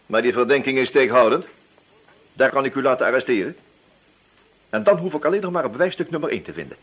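Casting: relentless, crafty radio-play inspector, husky, swooping delivery, shifting from feigned chattiness to accusation. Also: male voice, mid-range voice, expressive, quick pace. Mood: accusing, firm. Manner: probing, hesitant feints turning accusatory. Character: wily, relentless male police inspector, feigning casual chat. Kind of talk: radio drama dialogue.

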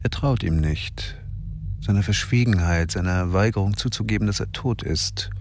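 Man doing crime narrator, grim, measured, lowish, faint hiss. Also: close to an everyday voice. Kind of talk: radio drama narration.

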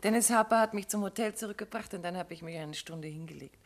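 A detective's affectionate wife played by a woman in a vintage radio play. Her voice is on the low side, with a cheerful, intimate manner. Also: fast talker, lightly acted. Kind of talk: 1950s German radio drama acting.

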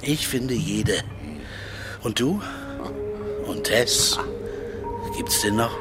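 An actor playing a guarded ex-detective in a radio drama, terse and dismissive. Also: male voice, mid-range voice, animated, extremely slow, loud music behind the voice. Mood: guarded, probing. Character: curt, guarded male ex-detective who hunts lost cats. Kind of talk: naturalistic German radio drama acting.

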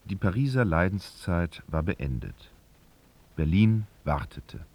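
A man narrating a literary radio drama in a gravelly voice, with expressive, knowing, ironic storytelling. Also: sits low, slow, full character voice. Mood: wry narration. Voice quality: creaky, gravelly.

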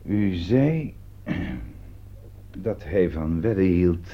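Low-voiced, stern police interrogator. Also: male voice, animated, very slow, full character voice. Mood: pointed, accusing. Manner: curt, probing, commanding. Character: stern, sarcastic male police interrogator, curt and insistent. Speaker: actor in a radio play. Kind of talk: Dutch radio drama dialogue. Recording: dull, band-limited old radio recording.

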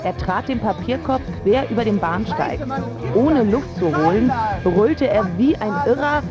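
A woman's voice: medium pitch, lively intonation, measured pace, close to an everyday voice. An actress playing a smitten young woman from a pampered home, narrating intimately and lyrically in a radio drama. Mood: regretful. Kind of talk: scripted first-person narration in a German radio drama. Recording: slightly dull sound with music underneath. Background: prominent music.

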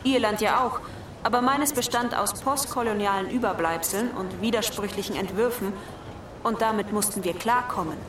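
An actress playing a polite cardboard announcer figure at an airport in a radio drama, speaking sincerely. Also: female voice, mid-range voice, moderate pace, lightly acted. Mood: measured, polite. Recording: roomy, distant, noisy ambience.

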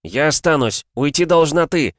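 Male narrator as young naval officer, defiant, loyal, strained raised voice, emphatic shouts, audiobook drama, dry recording. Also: on the high side, lively intonation, normal speed, dry recording, voice pushed up, close to an everyday voice. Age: young adult.

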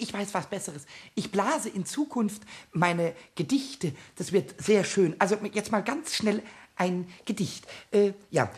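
A tiny boastful kobold in a children's radio play. The voice is squeaky and very high, swooping about with impulsive glee. He loves his own rhymes and takes his time showing them off. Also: fairly bright tone, exaggerated voice. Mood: scheming. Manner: gleeful, self-admiring, impulsive. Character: small, excitable, boastful, rhyme-loving male kobold.

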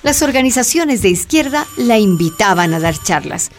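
A woman's voice: medium pitch, sing-song, normal speed. A woman giving scripted narration for a radio drama. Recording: clear background music.

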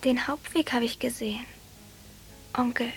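A woman's voice: fairly high pitch, steady tone, moderate pace, creaky. An actress playing a frail, dreamy small village girl in a radio drama, with a simple, literal way of talking. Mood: matter-of-fact. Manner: simple, literal, echoing phrases. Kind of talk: German radio drama acting.

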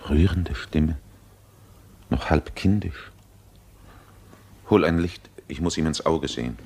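Grave, very deep-voiced physician. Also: male voice, darkish tone, slightly rough, exaggerated voice. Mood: solemn, moved. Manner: measured, solemn declamation. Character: grave, authoritative male physician, compassionate and solemn. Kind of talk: theatrical German radio play acting.

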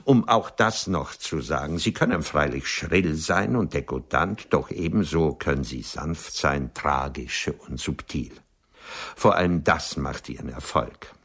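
Deep, husky, aloof old poet. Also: male voice, a little slow, fairly bright tone, full character voice. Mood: conciliatory. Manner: dry, condescending, formally articulate. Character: vain, aloof, aging Viennese male poet, sharp-tongued. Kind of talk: German radio play acting.

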